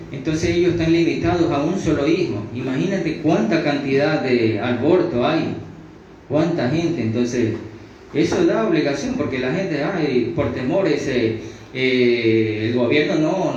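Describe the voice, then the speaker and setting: A man's voice: medium pitch, a little mellow. Latin American man preaching in a very echoey room. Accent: Latin American Spanish. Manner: punctuates phrases with amen, repetitive, halting restarts. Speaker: religious teacher, preacher. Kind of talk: sermon, extemporaneous Bible teaching. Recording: very echoey room, distant mic, dull sound, faint noise.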